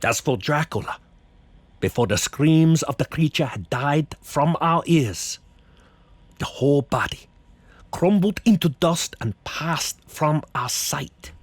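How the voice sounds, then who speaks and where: An actor playing a grave, commanding vampire hunter in a horror radio drama, husky, deliberate and theatrical. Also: male voice, fairly high pitch, expressive, no echo. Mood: solemn, reflective.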